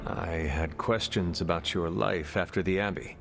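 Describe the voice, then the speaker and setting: A stoic male warrior hero talks in a deepish, very gravelly voice. His speech is formal, measured and probing, as in a dramatic game cutscene, with music playing behind him. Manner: formal, deliberate, few contractions. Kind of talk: video game cutscene acting.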